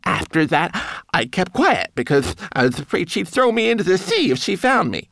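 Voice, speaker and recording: A man's voice: high-pitched, very animated, normal speed, creaky, a bit bright, no room sound, voice pushed up, exaggerated voice. A man voicing a tiny, timid, indignant homunculus manservant who talks formally and stammers in protest. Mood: aggrieved, fearful.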